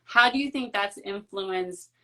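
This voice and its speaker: A woman with a bright, mid-pitched voice hosts a live-stream interview on a video call. She speaks in a friendly, enthusiastic way as she praises her guests and asks her questions. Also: a little slow. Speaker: host.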